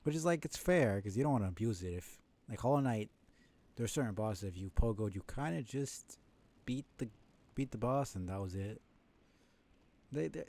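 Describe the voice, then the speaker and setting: A man's voice: medium pitch, a little mellow. A man streaming a video game, thinking aloud in casual, rambling, analytical live commentary.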